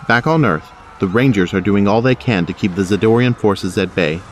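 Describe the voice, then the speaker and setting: Deep-voiced male audio drama narrator. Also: fairly quick, slight background noise.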